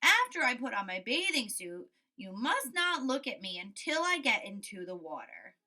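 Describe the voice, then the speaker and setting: A woman's voice: on the high side, wildly swooping pitch, normal speed, sharp tone, exaggerated voice. A woman voicing a self-conscious, fussy male toad in a children's storybook read-aloud, speaking emphatically and insistently.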